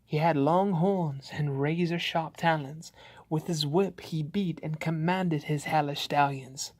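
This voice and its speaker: Man reading as a slow, ominous horror-poem narrator. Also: a little high, fairly even intonation, darkish tone, dry recording, clean studio sound. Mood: hushed suspense. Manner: theatrical, ominous, drawn-out delivery. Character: frightened father narrating a scary Christmas demon poem. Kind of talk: dramatic storybook poem reading.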